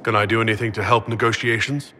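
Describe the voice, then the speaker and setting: A courteous game hero leader, lowish bright voice, talks fast. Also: male voice, little pitch movement, in a large hall, a little hiss or hum. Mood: supportive. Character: considerate male leader of fantasy order. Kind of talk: video game dialogue acting.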